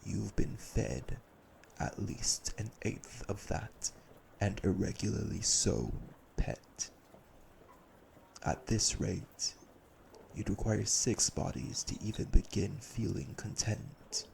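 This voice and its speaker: An actor playing a cold, cruel, domineering vampire elder brother in an audio drama, speaking deliberately with gravelly menace. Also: male voice, on the low side, darkish tone, slight background noise, full character voice. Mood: taunting, controlled. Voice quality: gravelly, creaky. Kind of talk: dramatic audio roleplay acting.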